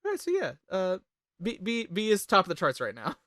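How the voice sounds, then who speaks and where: A host in a casual group chat, talking in an animated, rambling way with some swearing. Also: medium pitch, fairly quick, dry recording, studio quality.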